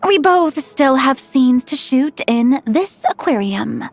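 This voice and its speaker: A woman voicing a theatrical, generous young film director with a breezy, expressive manner in a video game. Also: sits high, takes it slowly, faint music behind, full character voice. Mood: relieved, friendly.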